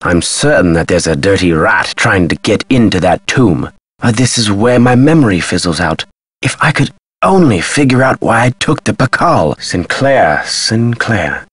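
An actor playing an eccentric, agitated scholar with memory loss in a video game, speaking theatrically with a husky voice. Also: male voice, fairly low pitch, very animated, moderate pace, fairly bright tone, dry recording, heavily acted. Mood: intense, musing. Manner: theatrical, emphatic, swinging delivery. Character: eccentric, agitated male amnesiac Maya scholar.

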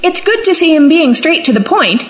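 A woman's voice: extremely high pitch, sing-song, normal speed, faint music behind. A woman playing a flippant, impatient time-travelling companion with a breezy, wry manner in an audio drama.

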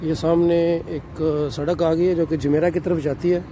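A middle-aged man narrates a walking tour outdoors, calling out the sights to his viewers as he goes. He speaks into a handheld phone, and his voice sits a little high. Steady city traffic rumbles clearly behind him. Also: normal speed. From Pakistan. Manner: addresses viewers as "nazreen", leisurely describing sights while walking. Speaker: travel vlogger. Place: city streets at night, outdoors. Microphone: handheld phone camera.

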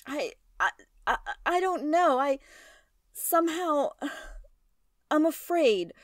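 An actress playing an anxious, gentle professor's wife, halting and fearful, in an old-style radio drama. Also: female voice, high voice, very animated, dry recording, studio quality, full character voice. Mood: uneasy, afraid. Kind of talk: retro 1930s radio drama acting.

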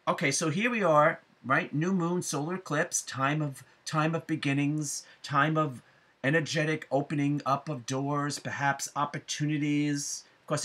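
A middle-aged man giving a spiritual forecast to viewers, speaking deliberately with emphatic phrasing and frequent pauses. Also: a little high, pushed and bright. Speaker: astrology forecaster and psychic medium. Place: small room at home, indoors.

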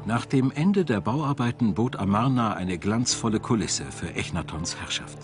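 A man's voice: lowish voice, lively intonation, normal speed. A man reading scripted narration for a documentary as a studio voice-over. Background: music.

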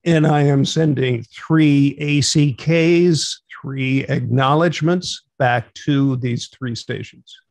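A man's voice: medium pitch, slow, few pauses, a little mellow, no room sound. A middle-aged man hosting an instructional radio net in an orderly, procedural way over a video call. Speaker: net control operator and host. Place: small home room, on a video call.

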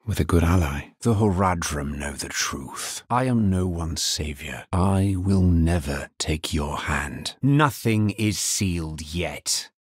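Fantasy game necromancer hero: grave and understated, husky tone, very dry close sound. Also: male voice, low-pitched, lively intonation, slow pace, few pauses, dry recording, heavily acted. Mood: solemn. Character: grave, world-weary male necromancer hero. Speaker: video game voice actor. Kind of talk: dramatic fantasy game dialogue lines.

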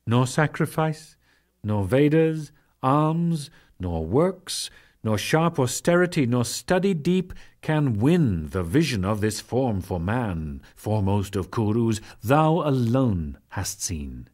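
A man voicing a majestic, commanding male god in a dramatic scripture reading, solemn and measured with weighty pauses. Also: mid-range voice, very animated, a little mellow.

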